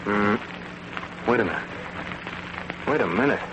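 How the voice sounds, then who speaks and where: A man's voice: on the low side, animated, a little slow, lo-fi sound. An actor playing a wry, skeptical male lab assistant who jokes with his colleague in a 1940s radio drama. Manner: wry, incredulous. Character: adult male lab partner, skeptical and joking. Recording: old radio recording with loud hiss.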